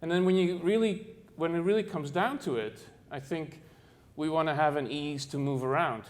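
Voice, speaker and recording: A middle-aged man who grew up in the Netherlands, giving an architecture presentation in a halting way, with frequent fillers and restarts. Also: on the high side. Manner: halting, many fillers and restarts, searching for words. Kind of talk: presentation to an audience.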